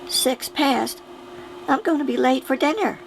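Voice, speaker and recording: A man voicing an eager young boy adventurer in a bright, boyish voice. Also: very high voice, unhurried, vocal fry, a little hiss or hum, pitched above the performer's own voice, heavily acted, poor audio. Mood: earnest.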